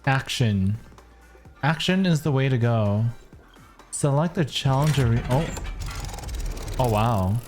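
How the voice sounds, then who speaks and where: Casting: casual game streamer, medium pitch, unhurried, blunt slangy reactions, faint music behind. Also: animated, a little mellow. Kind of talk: casual gaming reaction chat.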